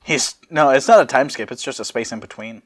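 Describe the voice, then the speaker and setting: A man's voice: medium pitch, a little fast. A man narrating a story as an amateur host, with dramatic reading and jokey casual asides. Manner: jokey, laughs at the text.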